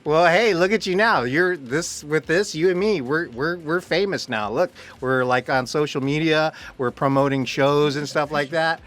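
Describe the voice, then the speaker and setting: A man's voice: a little high, normal speed, hardly any pauses, a bit bright. A man hosting a casual promotional interview with a fellow actor, playful and bantering.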